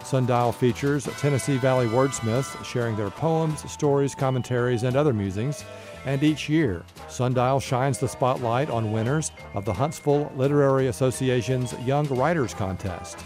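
A man's voice: mid-range voice, normal speed. A man hosting a radio show, reading a scripted introduction. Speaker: radio host. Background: music bed.

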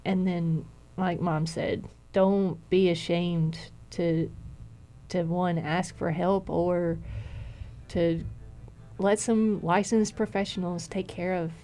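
A woman's voice: fairly low pitch, fairly even intonation, takes it slowly, mellow, faint music behind. An adult woman giving spontaneous advice in an interview, methodically laying out her points.

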